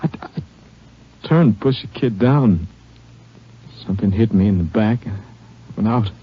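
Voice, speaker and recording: An actor in an old radio drama playing a gravely wounded deputy, a grieving father, who talks haltingly and pleads. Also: fairly low pitch, animated. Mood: grieving, pleading. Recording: dull vintage radio recording.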